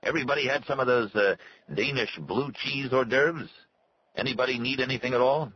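A man's voice: medium pitch, a little fast, breathy edge, lo-fi sound, voice pushed up, natural voice. A man playing a brash, blunt, colloquial boss and hearty host in an audiobook. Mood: breezy.

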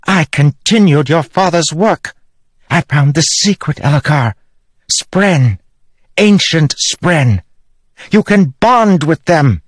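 A man voicing a scheming, haughty queen who mocks her husband in a high, scornful voice. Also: lively intonation, slow pace, no room sound, studio quality. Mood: gloating. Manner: scornful, sneering, high and pinched. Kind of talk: audiobook character voice.

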